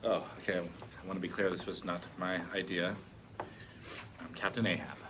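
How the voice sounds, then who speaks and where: A man's voice: sits low, flat delivery, moderate pace, close to an everyday voice. A man playing an earnest, good-natured husband and father in a sitcom script table read. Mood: friendly. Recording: clearly noisy room recording.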